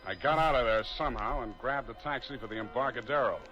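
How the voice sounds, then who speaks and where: A man acts out a wry, hardboiled private eye narrating his case in a radio play. His delivery is dry and deadpan at a middle pitch. The old broadcast sounds dull, with music underneath. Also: fast talker, lightly acted. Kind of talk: 1940s radio drama narration.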